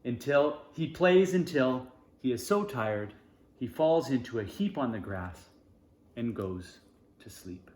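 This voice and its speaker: A man reads a children's storybook aloud in a medium-pitched voice with lively rises and falls. He phrases expressively, pausing between short phrases. The room adds a clear echo around his voice. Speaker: storybook reader. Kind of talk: children's storybook read-aloud with informal asides.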